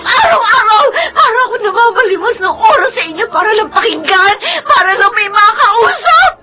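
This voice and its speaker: A woman's voice: extremely high pitch, big pitch swings, moderate pace, raised voice. A young woman with a Filipino accent playing a bitter, neglected teenage daughter in an emotional radio drama. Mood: tearful rage. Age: young adult. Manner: emotional, tearful outbursts. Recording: music under the voice.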